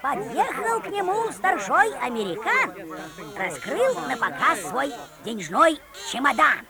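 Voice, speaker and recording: An actress playing a folksy fairy-tale storyteller, narrating theatrically in rustic folk speech. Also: female voice, sits high, sing-song, unhurried, over a noisy crowd, full character voice. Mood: folksy, lively.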